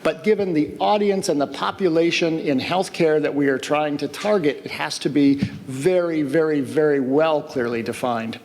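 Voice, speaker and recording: A man's voice: mid-range voice, darkish tone. A man on a research panel answering a question, speaking emphatically without a microphone. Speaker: researcher on the robot project. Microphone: no microphone, picked up from across the room. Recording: distant voice in a reverberant hall.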